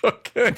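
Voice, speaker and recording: A man co-hosting a podcast in casual chat, playful, quick to laugh and defend his choices. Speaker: podcast co-host.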